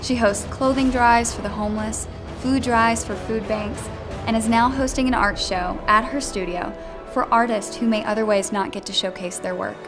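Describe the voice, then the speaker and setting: A woman's voice: fairly high pitch, fairly even intonation, normal speed. A woman reading a written tribute aloud in scripted narration. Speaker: company employee reading submission stories. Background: background music.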